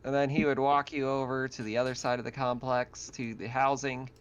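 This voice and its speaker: A man's voice: medium pitch, flat delivery, fairly quick, smooth. A man working as game master, informally narrating a tabletop roleplaying game over an online call. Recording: slightly dull online voice-call audio.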